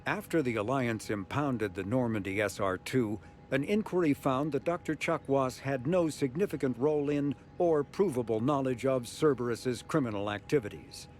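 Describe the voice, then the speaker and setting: Lore narrator: male, mid-pitched, measured and factual, soft music underneath. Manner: formal, measured, informative. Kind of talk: scripted encyclopedic narration. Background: faint music.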